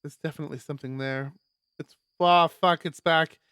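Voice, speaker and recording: A man streaming a live read-aloud of a visual novel, expressive, with stumbles and casual asides. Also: fairly high pitch, normal speed, smooth, no room sound, clean studio sound. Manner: expressive, stumbles over words while reading.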